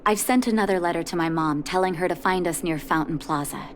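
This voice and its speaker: An actress playing a gentle, composed young woman doctor in a video game, speaking softly and with measured care. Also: fairly low pitch, slight background noise, close to an everyday voice. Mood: hopeful, warm. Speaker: game character, underground doctor. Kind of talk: acted video game dialogue. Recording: studio voice over game music.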